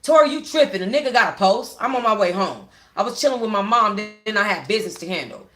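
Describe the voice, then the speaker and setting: A woman's voice: mid-range voice, animated, fast talker, a bit bright, faint music behind, close to an everyday voice. A woman voicing a brash young boyfriend, slangy, offhand and evasive, in a story read aloud. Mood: defensive.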